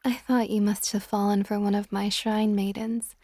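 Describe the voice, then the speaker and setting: A woman voicing an ancient, possessive but tender water dragon goddess in a soft, intimate ASMR romance roleplay, often slipping toward a whisper. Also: medium pitch, little pitch movement, normal speed, close to an everyday voice. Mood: possessive, coaxing.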